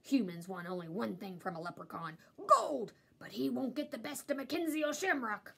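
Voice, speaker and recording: A hot-tempered storybook leprechaun played by a woman reader barks out indignant exclamations. He is pitched high and swoops dramatically, with a rough edge to his guarded, grumpy protests. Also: animated, moderate pace, exaggerated voice. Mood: alarmed, indignant. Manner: shouting, indignant, emphatic exclamations. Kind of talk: children's storybook character reading.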